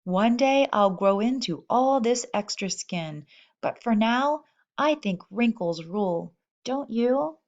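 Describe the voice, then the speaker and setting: Female storybook reader, unhurried, expressive read-aloud for young children, slightly muffled recording. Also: a little high. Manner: expressive, speaking as baby animals in first person.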